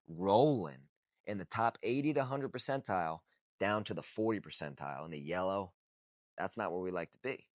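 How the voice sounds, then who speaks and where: A man around thirty with a deep, low voice talks straight to camera in a blunt, casual way. He swears freely and circles back on his points for emphasis. The sound is dry and close, with no room around it. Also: no room sound. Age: 30s. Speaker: vlogger. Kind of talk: casual unscripted talk to camera.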